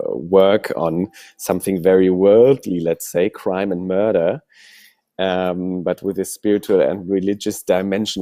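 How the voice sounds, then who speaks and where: A man's voice: sits low, warm tone, dry recording, clean studio sound. A man moderating a forum, putting questions to panelists in a hesitant, exploratory way.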